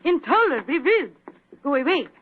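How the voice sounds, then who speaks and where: An actress playing a hostile, sharp-tempered Swedish woman sculptor in imperious, indignant 1940s radio drama style. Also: high voice, big pitch swings, moderate pace, noisy background. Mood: angry. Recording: dry muffled 1940s radio broadcast.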